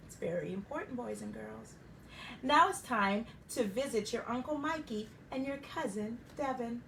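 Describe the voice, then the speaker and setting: A woman voicing a patient, encouraging father in a children's story, in a calm teaching tone. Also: a little high, moderate pace, creaky, recorded from a distance, a little hiss or hum, rough recording. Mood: proud.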